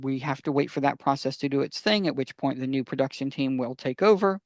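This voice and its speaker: A man's voice: mid-range voice, brisk, no room sound, clean studio sound. A man chatting casually as a podcast guest, making emphatic points in short, restated phrases. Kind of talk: casual chat.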